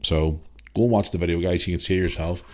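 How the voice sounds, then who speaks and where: A man's voice: low voice, fairly even intonation, fast talker, darkish tone. A man in his forties with a Northern Irish accent, hosting a podcast and answering a listener's email in a rambling, chatty way, full of fillers and restarts. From Northern Ireland. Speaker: podcast host. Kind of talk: casual solo podcast, reading listener email.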